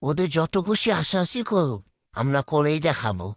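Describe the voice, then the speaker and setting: A woman's voice: deep voice, very animated, normal speed. A woman voicing a determined, inventive village boy in a cartoon. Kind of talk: cartoon voice acting.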